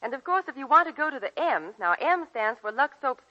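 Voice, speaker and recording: A woman's voice: high voice, sing-song, a little fast, bright, forceful tone, heavily acted. An actress playing an eager, chirpy young woman in a scripted 1940s radio commercial. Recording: muffled vintage 1940s radio broadcast.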